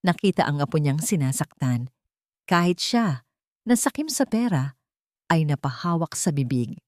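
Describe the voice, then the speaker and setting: A woman's voice: low voice, big pitch swings, moderate pace, a little mellow, no echo, clean studio sound. A woman narrating a dramatic first-person audiobook story, reading from a script.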